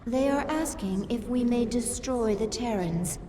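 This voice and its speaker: A woman's voice: a little high, unhurried, mellow, lightly acted. A woman voicing a deferential alien servant of a swarm queen in a video game, formal and submissive. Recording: long reverb over noisy ambience.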